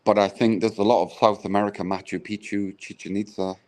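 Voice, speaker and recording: A man's voice: lowish voice, level tone, brisk. A British man chatting casually on a live stream, easygoing, often trailing off and restarting his sentences. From Britain. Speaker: stream guest.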